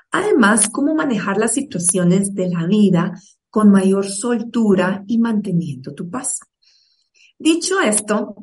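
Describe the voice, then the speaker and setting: A woman's voice: medium pitch, recorded from a distance. A woman from Guatemala, giving a motivational online talk and speaking directly and persuasively to her audience. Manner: addresses the listener directly, persuasive and encouraging.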